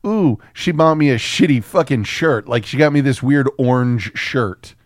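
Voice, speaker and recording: A man's voice: mid-range voice, normal speed, no room sound, studio quality. A man chatting casually as a podcast co-host, rambling through stories with plenty of swearing. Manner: crude, swearing, rambling storytelling. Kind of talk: casual chat about a film.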